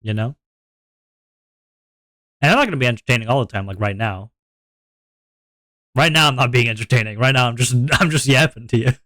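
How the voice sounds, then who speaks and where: A man with a deep voice, streaming and chatting casually to his viewers in a rambling, self-reflective way, often repeating his words. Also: brisk, pauses often, sharp tone, no room sound.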